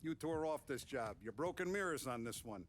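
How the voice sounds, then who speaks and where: Male actor voicing a steady crime boss, game cutscene, faint hiss behind. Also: medium pitch, natural voice. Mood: commanding. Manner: firm, measured orders. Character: older male mob boss, calm and commanding.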